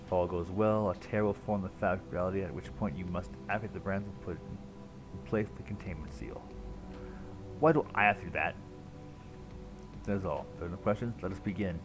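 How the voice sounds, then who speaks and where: A man's voice: sits low, fairly quick. A man livestreaming a video game, reading dialogue aloud and commenting casually. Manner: casual, sweary interjections. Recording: game music behind the voice. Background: video game music.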